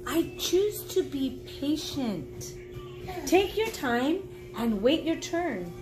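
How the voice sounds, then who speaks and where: A woman in her forties reading a children's book aloud like a teacher, encouraging and pausing to explain and ask the listener questions. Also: high voice, very animated, over music, poor audio. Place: small indoor room at home.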